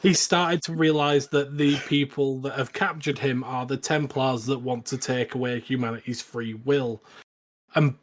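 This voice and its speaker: A man's voice: mid-range voice, fairly even intonation, normal speed. A man co-hosting a podcast, giving a casual plot recap in a hesitant, stop-and-start way. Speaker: podcast co-host.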